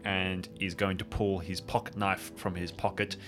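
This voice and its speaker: A man with a fairly low voice plays along in an improv adventure podcast. He describes his character's actions in a casual, made-up-on-the-spot way, with faint music. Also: little pitch movement, moderate pace.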